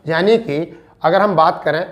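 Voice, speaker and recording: A man's voice: fairly high pitch, moderate pace. A man teaching an informal online class, addressing students in a reassuring, instructive way and repeating key points for emphasis. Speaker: teacher giving exam updates to students.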